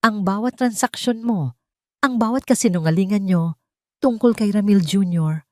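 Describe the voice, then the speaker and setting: A woman's voice: mid-range voice, moderate pace, mellow, no room sound, studio quality, lightly acted. A woman playing a wronged mother in an audio drama, cold, determined and defiant. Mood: triumphant, accusing. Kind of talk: Tagalog audio drama dialogue.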